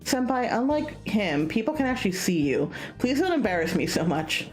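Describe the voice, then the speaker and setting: A woman's voice: medium pitch, big pitch swings, moderate pace, pitched above the performer's own voice, lightly acted, low-quality recording. A woman playing a shy, easily exasperated young man in a visual novel. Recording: music behind the voice.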